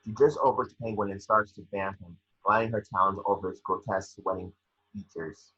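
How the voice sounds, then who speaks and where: A man reading scene descriptions as the narrator of a scripted table read, with a husky voice. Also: fairly low pitch, steady tone, fairly quick, a bit bright, dull audio. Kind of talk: scripted narration of screenplay action.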